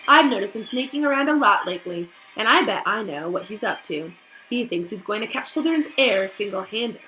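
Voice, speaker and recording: A man's voice: high voice, animated, fairly quick, bright, forceful tone, reverberant, soft background music, low-quality recording. A woman voicing an arrogant, sneering teenage schoolboy with a drawling, scornful delivery for a storybook.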